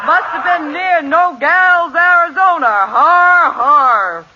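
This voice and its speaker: A woman's voice: extremely high pitch, takes it slowly. A woman playing a breezy, wisecracking Western gal in 1940s radio comedy. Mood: breezy, joking. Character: breezy Western gal from Oklahoma called Tex. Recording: muffled, faintly noisy 1940s radio recording.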